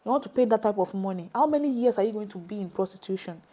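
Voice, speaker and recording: A Nigerian woman speaking English in an animated, emphatic, conversational way, repeating phrases as she tells her stories. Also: medium pitch, very fast. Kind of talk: conversational storytelling.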